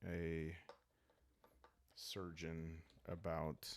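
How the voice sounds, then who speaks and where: A man calmly narrating a solo journaling game, soft-spoken and musing, halting as he improvises aloud. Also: rumbling low, slight background noise. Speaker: solo roleplayer and show host.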